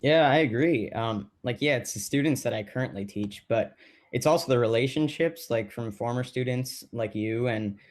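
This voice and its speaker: Man playing a hesitant, halting teacher. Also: medium pitch, close to an everyday voice. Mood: wistful, reflective. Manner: hesitant, filler-heavy, halting. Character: thoughtful, lonely male teacher in documentary play. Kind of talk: casual documentary theater monologue.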